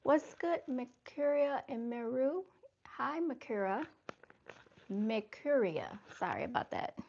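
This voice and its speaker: A soft-spoken woman hosting a casual livestream, chatting to her viewers and calling them y'all. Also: a little high, expressive, slow, creaky, muffled recording, slight background noise. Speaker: livestream host. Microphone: camera mic on a livestream.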